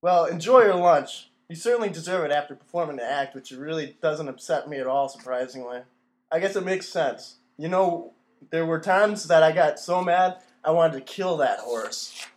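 Radio comedy admiral, male performer: slightly high male voice, rambling, pompous, deadpan bluster. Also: moderate pace, natural voice. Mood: peeved, deadpan. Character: pompous, addled retired navy admiral and radio boss, male. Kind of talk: mock old-time radio play.

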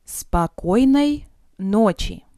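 A woman leads a vocabulary drill at a very slow, deliberate pace. She enunciates each phrase with care, then splits it word by word and repeats it. Her voice is soft and mellow, recorded close and dry. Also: mid-range voice, lively intonation, no room sound. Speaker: language teacher.

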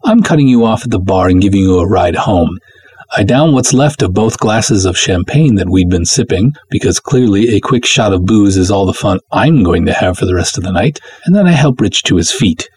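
Man reading as wry, confiding woman, husky. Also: lowish voice, expressive, moderate pace, darkish tone. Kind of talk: first-person serial story reading.

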